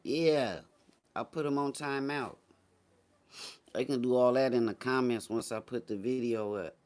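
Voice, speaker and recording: A man's voice: medium pitch, fairly even intonation, measured pace, darkish tone. A man hosting a casual live stream, chatting with viewers in a slangy, boastful, teasing way.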